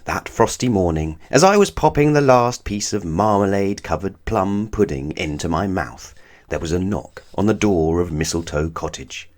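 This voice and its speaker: A man reads a children's storybook aloud. His voice sits on the low side and moves expressively up and down as he narrates. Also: expressive, normal speed, a little mellow. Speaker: storybook reader.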